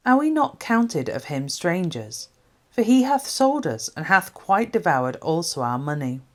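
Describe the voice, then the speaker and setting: A woman reading scripture aloud from a script, in formal, measured short phrases. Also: low-pitched. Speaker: Bible reader.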